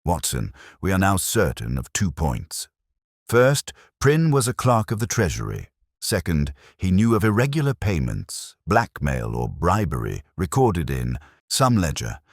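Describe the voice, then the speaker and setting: A man's voice: sits low, huge pitch swings, measured pace, no room sound, clean studio sound, exaggerated voice. A man voicing a brilliant, unyielding Victorian London detective in an audiobook, formal and decisive in his speech. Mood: keen, deducing.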